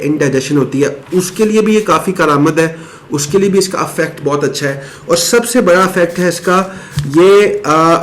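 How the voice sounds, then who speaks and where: A man explaining a home remedy step by step in a casual, chatty way, now and then clearing his throat. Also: a little high. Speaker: health advice presenter. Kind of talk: casual explanatory talk to camera.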